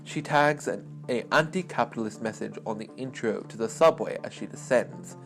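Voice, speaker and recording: A man's voice: medium pitch, moderate pace. A man storyteller narrating a story as if describing scenes from a film. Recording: clear music behind the voice. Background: music.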